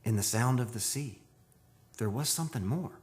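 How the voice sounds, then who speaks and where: A man playing a wistful, dreamy photographer narrating an eerie seaside tale in a measured, poetic radio drama style. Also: medium pitch, animated, a little mellow, lightly acted. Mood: hushed, alert. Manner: measured, poetic, solemn delivery. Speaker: actor, narrator.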